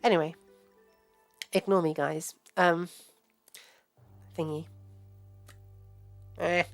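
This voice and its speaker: A woman giving informal, rambling academic commentary as a livestream host, halting and digressing as she thinks aloud. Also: lowish voice, steady tone, no echo. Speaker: host and scholar giving a live reading and commentary. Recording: music playing behind the voice. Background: background music.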